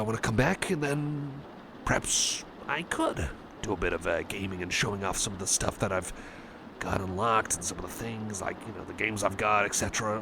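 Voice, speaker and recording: ASMR roleplay casting: anxious male cyborg pal played by a man, creaky voice, hesitant and rambling, over clear background noise. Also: fairly low pitch. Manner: stammering, hesitant, apologetic, rambling. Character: anxious, friendly male cyborg buddy frightened of thunderstorms. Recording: noisy, storm-like ambience behind.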